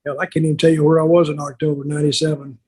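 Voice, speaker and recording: An older man with a husky voice telling stories casually on a podcast, blunt and plainspoken, halting and repeating words. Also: a little high, flat delivery, warm tone. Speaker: podcast co-host, wrestling veteran.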